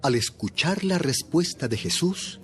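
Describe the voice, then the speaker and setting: Expressive male scripture narrator. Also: a little high, unhurried, a crowd in the background. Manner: expressive, storytelling. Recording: voice over light background music.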